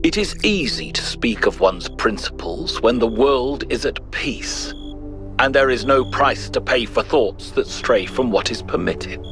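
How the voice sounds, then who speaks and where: An actor playing a thoughtful, principled ancient Greek philosopher in a video game, giving a grave, measured, rhetorical monologue. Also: male voice, mid-range voice, animated. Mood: grave, resolute. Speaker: narrator of philosophical audio logs. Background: music.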